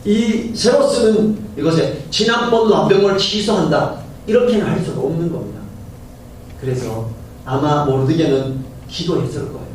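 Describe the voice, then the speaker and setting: Middle-aged male pastor with a slightly high voice, preaching conversationally to the congregation, heard from a distance with faint hiss behind. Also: normal speed, darkish tone. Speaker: senior pastor. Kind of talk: Sunday sermon. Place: church sanctuary. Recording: very echoey church hall from far off, faint hiss.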